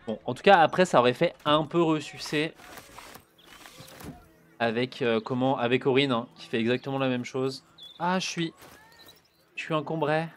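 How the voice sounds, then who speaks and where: A man's voice: medium pitch, moderate pace, pauses often. A man streaming a video game with casual, chatty commentary, muttering and swearing as he plays. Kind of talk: casual let's-play commentary.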